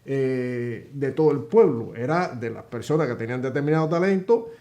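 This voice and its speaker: A man's voice: mid-range voice, animated, moderate pace, warm tone. A man co-hosting a political commentary show, explaining in a hesitant way with many fillers. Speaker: co-host.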